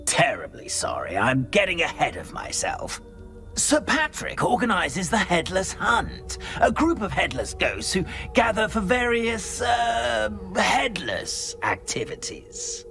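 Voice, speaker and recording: A courtly British ghost from a video game, eager and easily flustered. His bright voice swoops up and down dramatically as he hesitates through wordy, deferential sentences. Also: sits high, huge pitch swings, slow, gravelly, soft background music, full character voice. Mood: eager, fussy. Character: polite, flustered, eager English gentleman ghost. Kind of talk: video game character acting.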